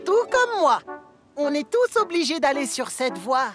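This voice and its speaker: A woman's voice: sits high, very animated, measured pace, exaggerated voice. A woman voicing a cheerful, reassuring young boy engine in a cartoon dub. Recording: cartoon dub with loud music.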